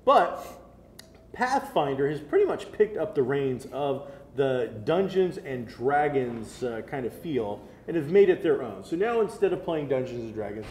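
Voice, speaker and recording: A man's voice: mid-range voice, big pitch swings, a little slow, a little mellow. An American man in his thirties co-hosting a geek show, chatting casually and explaining details with enthusiasm, seated indoors at a table. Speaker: co-host of a geek culture show. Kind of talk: casual review chat. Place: medium-sized indoor room, seated at a table. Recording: roomy echo with faint background noise.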